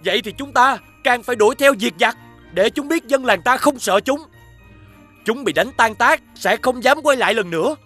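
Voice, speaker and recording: High-voiced brave young hero. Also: male voice, lively intonation, normal speed, pushed and bright, faint music behind, heavily acted. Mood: resolute. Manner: earnest, rallying. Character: brave, resolute young village hero with supernatural strength. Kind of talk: animated fairy-tale voice acting.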